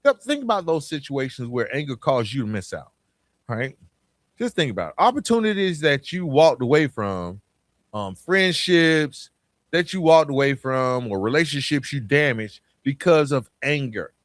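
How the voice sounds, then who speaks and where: A man with a Southern accent from Atlanta hosts a motivational podcast in a medium-pitched voice. He talks informally and emphatically, circling back on phrases and throwing out rhetorical questions. The sound is dry, with little room around it. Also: very animated, normal speed, no echo. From Atlanta, southern United States. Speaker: podcast host.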